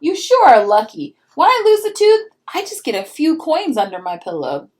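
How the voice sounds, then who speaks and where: A woman voicing an excitable little brother from a children's storybook, speaking up with emphatic delivery. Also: high-pitched, moderate pace, voice pushed up. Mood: envious.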